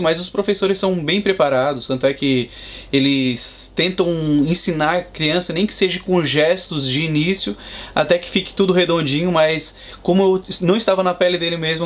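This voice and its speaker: A Brazilian man in his thirties, a father, answering interview questions in a measured, explanatory way. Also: on the high side, fairly bright tone. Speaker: guest, Brazilian father in Boston.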